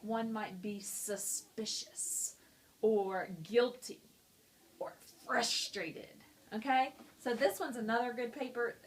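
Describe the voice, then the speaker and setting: Friendly woman teacher, a touch high-pitched, walking students through a reading lesson. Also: slight background noise. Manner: friendly, explanatory, talking to students. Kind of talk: explaining a lesson on a picture book.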